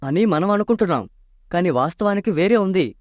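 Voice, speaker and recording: A man's voice: high voice, expressive, fairly quick, a little mellow, no room sound, studio quality. An actor playing an honest, poor young man in love in a storybook tale, earnest and troubled.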